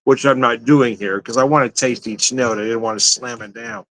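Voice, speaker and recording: A man at a mid-range pitch reviews a drink in an easygoing, rambling way. He laughs often, trips over his words, and talks straight to the camera. He sounds like a regular host chatting with his viewers. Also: fairly even intonation, moderate pace, fairly bright tone, low-quality recording. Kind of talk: casual tasting review.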